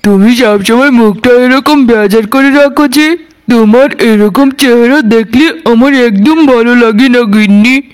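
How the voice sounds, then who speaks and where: Cartoon husband, performed by a man: very high voice for a male, placating, anxious, coaxing. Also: measured pace, clear, resonant tone. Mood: concerned, coaxing. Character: anxious, coaxing village husband, soothing his wife. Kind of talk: animated cartoon drama voice.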